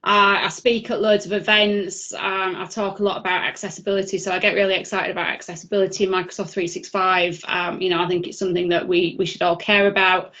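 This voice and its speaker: A woman's voice: medium pitch, flat delivery, fast, hardly any pauses, pushed and bright. A woman with a Yorkshire accent presenting at an online user group, chatty and full of fillers. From Yorkshire, England. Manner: chatty, filler-heavy delivery. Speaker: conference speaker. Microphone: video call. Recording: dull lo-fi video call audio.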